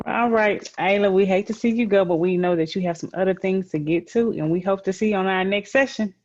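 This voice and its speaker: A woman's voice: mid-range voice, fast talker, darkish tone. A woman hosting an online session for children, talking in an informal, encouraging, conversational way over muffled audio. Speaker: session host. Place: virtual group session.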